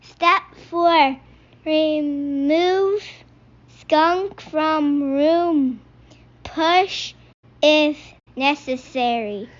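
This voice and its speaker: A woman voicing a patient but exasperated rabbit instructor from a picture book, speaking in deliberate, halting steps. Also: high voice, highs cut off.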